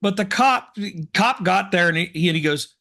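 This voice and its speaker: A man co-hosting a casual talk show, hoarse from smoke, telling rambling self-deprecating stories. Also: high voice, expressive, moderate pace, sharp tone. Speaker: co-host. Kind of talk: casual chat, storytelling.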